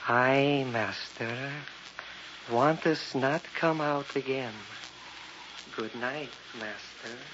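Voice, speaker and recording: An actor playing a submissive, halting servant with broken speech in an old-time radio drama, on a muffled recording. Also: male voice, medium pitch, fairly bright tone, a little hiss or hum. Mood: obedient. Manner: slow, halting, servile. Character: slow, submissive servant speaking broken English.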